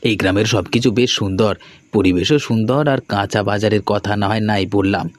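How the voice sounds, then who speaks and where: A man's voice: fairly low pitch, steady tone, moderate pace, no room sound, natural voice. A man playing a friendly young businessman in a cartoon, talking in a casual, conversational way. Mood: polite, friendly. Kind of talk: cartoon dialogue acting. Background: background music.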